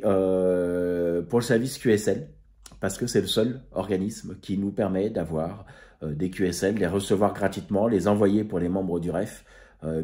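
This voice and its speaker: A man's voice: low-pitched, mellow. A man hosting an amateur radio channel, talking in a casual, rambling way with many hesitations and restarts. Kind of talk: casual spoken vlog recap.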